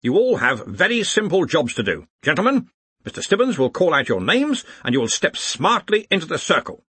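A man voicing an impatient old head of a wizard university, with a brusque, booming, commanding manner. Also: huge pitch swings, fairly quick, sharp tone, husky, dry recording, full character voice. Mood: brisk, commanding.

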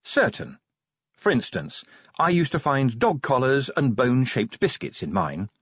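A man voicing a brisk, world-weary, irritable female police sergeant in an audiobook. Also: medium pitch, very animated, fairly bright tone, husky, dry recording, highs cut off, pitched above the performer's own voice, exaggerated voice. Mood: snappish. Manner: brisk, matter-of-fact.

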